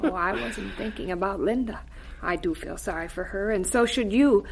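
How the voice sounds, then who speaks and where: A woman playing a gentle, devout mother in a radio drama, soothing and softly admonishing. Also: mid-range voice, moderate pace, gravelly, a little hiss or hum, natural voice, rough recording. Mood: earnest, admonishing.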